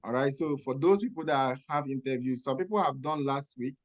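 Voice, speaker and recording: A man from Nigeria with a Nigerian accent talks over a video call as a nurse mentor. He speaks emphatically, often stopping to check that his listeners follow. His voice sits at an ordinary middle pitch. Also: brisk. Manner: emphatic, checks in with "Okay?" and rhetorical questions. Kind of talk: advice talk on a video call.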